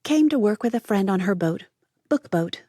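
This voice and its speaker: A woman voicing a chatty young American woman in an audiobook, lively and quick to tease. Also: mid-range voice, dry recording, clean studio sound, voice pushed up, close to an everyday voice. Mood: teasing. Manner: lively, teasing, quick to banter. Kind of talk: audiobook character voice.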